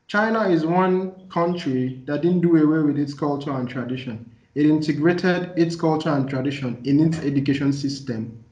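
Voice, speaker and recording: Nigerian guy, igbo native speaker, explaining stuff deliberately in an interview, echoey room, kinda muffled audio. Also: a little high, darkish tone. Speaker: interviewee, development practitioner. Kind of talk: thoughtful interview answer.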